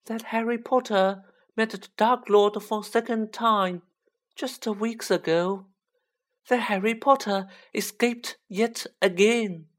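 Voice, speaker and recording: A woman voicing a small male house-elf for a fantasy audiobook, a squeaky, humble, anxiously devoted servant who pleads with drawn-out emphasis. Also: fairly even intonation, lightly acted. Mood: awed, tearful. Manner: pleading, drawn-out, emphatic delivery in third person. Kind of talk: children's fantasy audiobook character voice.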